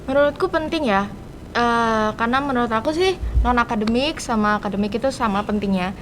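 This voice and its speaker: A teenage young woman, giving casual answers as a student guest on a podcast. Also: brisk. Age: teens. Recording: rumbly background noise.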